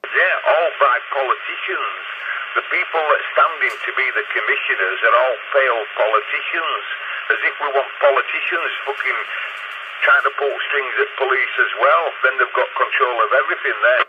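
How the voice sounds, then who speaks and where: A Northern English man talks over a tinny, very noisy CB radio link at a middling pitch. He is blunt, opinionated and sweary, in loose casual chat. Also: normal speed. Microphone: CB radio handset. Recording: tinny CB radio, heavy noise.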